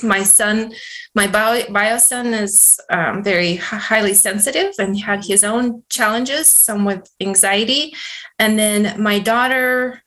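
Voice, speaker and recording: A middle-aged woman from Russia, a neurofeedback practitioner, answering interview questions in an earnest, measured way with many pauses. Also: mid-range voice, fairly bright tone, low-quality recording.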